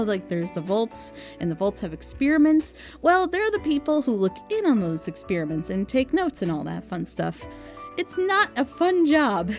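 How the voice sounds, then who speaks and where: A woman's voice: mid-range voice, a little mellow. A woman giving casual, unscripted recommendations in an informal, gushing, rambling way, as a reviewer of audio dramas.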